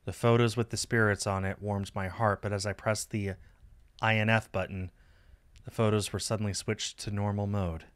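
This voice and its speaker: A man's voice: fairly low pitch, level tone, moderate pace, clear, resonant tone, no room sound. A man reading a visual novel aloud on a stream as dramatic story narration.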